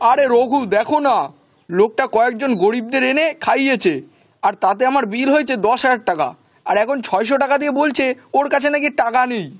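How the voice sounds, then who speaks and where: Man acting a husky, grasping eatery owner. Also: very high voice, fairly quick, faint music behind. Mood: indignant. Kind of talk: children's cartoon acting.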